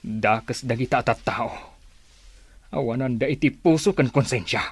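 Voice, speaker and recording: Husky, brooding, vengeful man. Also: mid-range voice, moderate pace, no echo. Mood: bitter. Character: bitter, vengeful man railing at greedy enemies. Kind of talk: radio drama acting.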